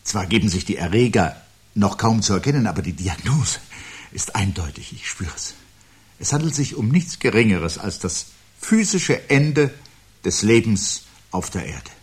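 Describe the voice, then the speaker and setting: An actor playing a brooding, anxious man who prophesies the end of life, speaking gravely and deliberately with a husky voice in a 1970s radio play. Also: lowish voice, animated. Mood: grave foreboding. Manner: grave, deliberate, articulate, philosophical monologuing.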